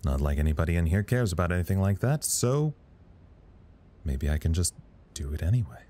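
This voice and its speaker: An actor playing a wryly philosophical staffer at a trapped research site, musing dryly in an audio drama log. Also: male voice, deep voice, lively intonation, fairly quick, warm tone. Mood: pensive. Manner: musing, dry, wry.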